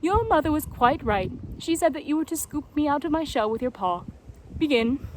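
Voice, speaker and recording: Casting: a woman as contrary male tortoise for a storybook, pedantic riddler, high-voiced with lively swings, noisy recording. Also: moderate pace. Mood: coolly contrary.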